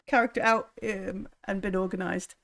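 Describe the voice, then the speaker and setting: A woman's voice: medium pitch, fairly even intonation, normal speed, creaky, fairly bright tone. A woman chatting casually as game master of a tabletop roleplaying game.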